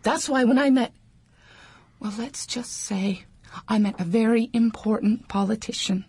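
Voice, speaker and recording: A woman's voice: fairly high pitch, measured pace, mellow, breathy edge, no echo. An actress playing a naive, lovestruck young woman with an American accent, guileless and rambling, in a radio drama. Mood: dreamy, confiding. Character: naive, lovestruck young gangster's courier, earnest and chatty.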